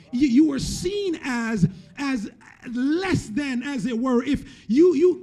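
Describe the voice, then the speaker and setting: High-pitched, mellow man preaching. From Jamaica, moved to the US at eleven. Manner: repeats and restarts phrases for emphasis, often tags points with "right?". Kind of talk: conversational sermon to a congregation. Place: church stage, indoors. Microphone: handheld microphone held close.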